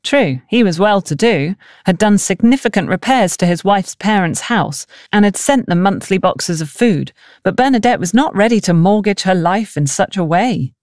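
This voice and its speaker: A woman's voice: medium pitch, lively intonation, normal speed, few pauses, a little mellow, no echo, clean studio sound. A woman reading a novel aloud as scripted audiobook narration.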